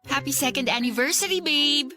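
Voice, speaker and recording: A woman's voice: sits high, moderate pace, close to an everyday voice. A woman playing an anxious, devoted college-age girlfriend in a radio drama, pleading and hesitant. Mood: affectionate. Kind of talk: Tagalog radio drama acting. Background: background music.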